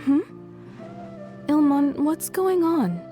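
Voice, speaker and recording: A woman's voice: high-pitched, sing-song, slow, over music, exaggerated voice. An actress playing a polite, loving mother and wife in a video game, with a tender way of speaking. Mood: worried comforting. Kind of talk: video game character acting.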